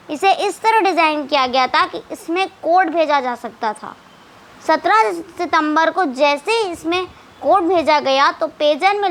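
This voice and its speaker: High, bright-voiced woman presenting news commentary. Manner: sarcastic, mocking, dramatic storytelling. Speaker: presenter of an explainer video. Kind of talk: scripted news commentary with conversational asides.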